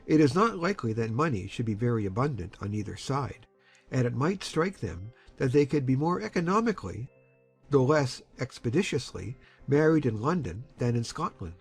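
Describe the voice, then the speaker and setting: A man acts a middle-aged gentleman uncle with a husky voice and a widely moving pitch. He reasons hopefully and deliberately, in period-novel style. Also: big pitch swings, soft background music. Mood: measured, reassuring. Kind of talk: dramatized audiobook acting of a period novel.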